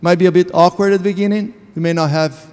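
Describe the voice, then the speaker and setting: A man shares a personal faith testimony, earnest and reflective, slowing down on the words that matter to him. His voice sits slightly high for a man. A long reverb from the church hall trails behind every phrase. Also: steady tone, a little mellow, clean tone. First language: Portuguese. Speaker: church member sharing a testimony.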